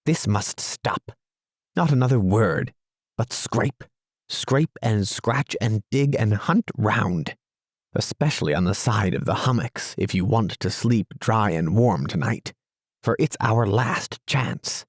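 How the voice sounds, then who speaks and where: A man's voice: on the low side, expressive, takes it slowly, no room sound, studio quality, exaggerated voice. A man voicing an impatient, clever male water rat in a storybook reading, brusque and scolding. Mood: angry.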